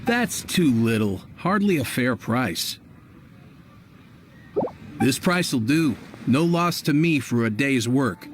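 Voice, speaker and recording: An actor playing a blunt, businesslike labourer in an anime-style video game, terse and deliberate. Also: male voice, mid-range voice, lively intonation. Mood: grudging. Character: shrewd male odd-job labourer, blunt, unhurried bargainer. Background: loud game music.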